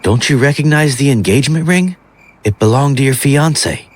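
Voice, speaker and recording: An actor playing a creepy, taunting street beggar with a raspy voice in an audio drama. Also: male voice, mid-range voice, animated, moderate pace, lightly acted. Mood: sly, taunting.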